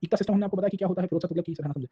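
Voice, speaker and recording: A man's voice: high-pitched, very fast, slightly rough, no room sound, lo-fi sound. A man with an Indian accent giving an informal, colloquial history lecture, mixing Hindi and English and often checking in with short tags addressed to his listeners as sir. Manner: colloquial, illustrating points with little acted anecdotes.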